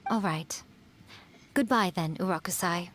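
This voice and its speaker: A woman's voice: fairly low pitch, measured pace, a bit bright, slight background noise. A woman playing a sly, smug, centuries-old yokai in a video game, with a coy, teasing and playfully haughty manner. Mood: playful, content. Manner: coy, teasing, self-satisfied.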